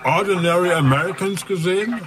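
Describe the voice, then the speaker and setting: A man's voice: sits high, a little fast, natural voice. An actor playing an easygoing, cynical policeman in a radio drama, teasing and crude. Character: coarse, easygoing, cynical male cop, skeptical of his partner. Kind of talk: naturalistic German radio drama dialogue. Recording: clear music behind the voice. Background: music.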